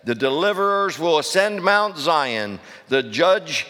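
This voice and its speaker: Hoarse, hollering preacher man in a church. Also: fairly high pitch, lively intonation, takes it slowly, bright, forceful tone. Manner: hollering, call-and-response preaching. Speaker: pastor. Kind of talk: fiery sermon. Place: church sanctuary. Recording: long reverb of a church hall.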